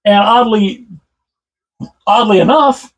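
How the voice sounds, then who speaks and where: A man with a slightly hoarse voice, chatting casually about hockey as a channel host, rambling and repeating himself with enthusiasm. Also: high voice, takes it slowly, poor audio. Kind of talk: casual solo commentary to camera.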